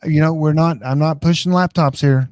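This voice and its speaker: A man's voice: on the high side, steady tone, moderate pace, darkish tone, dry recording, clean studio sound. A man with an American accent hosting a casual live stream, rambling through tech advice.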